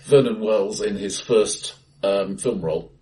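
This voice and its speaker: A man co-hosting a casual film discussion, halting with many restarts and dry understated humour, recorded in a bright, echoey room. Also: fairly low pitch, recorded from a distance. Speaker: podcast co-host. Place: acoustically bright room, face to face. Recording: bright room, voices a bit off-mic.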